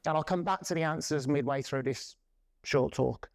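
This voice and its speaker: A man in his forties giving a loud, lively presentation to a conference audience. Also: a little high, moderate pace, vocal fry. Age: 40s. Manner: loud, lively, self-deprecating. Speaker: conference speaker. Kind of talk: presentation talk. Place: conference room.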